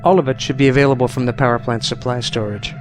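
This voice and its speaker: A man playing a brisk, guarded rebel organizer in an audio drama. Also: medium pitch, steady tone, over music, lightly acted.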